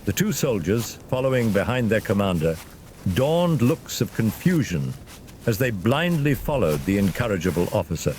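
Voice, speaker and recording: A man narrating a scripted fantasy story as a dramatic, expressive storyteller. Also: moderate pace. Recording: loud ambient rumble behind narration.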